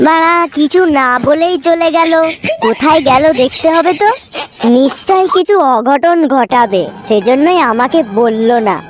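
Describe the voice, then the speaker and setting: A woman's voice: sits high, lively intonation, a little slow, soft background music. A woman voicing a nosy, grumbling, slangy friend in a comedy cartoon. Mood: suspicious.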